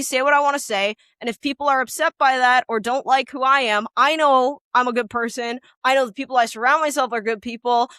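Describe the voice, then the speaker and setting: Young canadian woman, high piercing loud voice, rambly and candid, dry close sound. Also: dry recording. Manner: rambling, candid, lots of fillers. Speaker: musician guest. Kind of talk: casual interview chat.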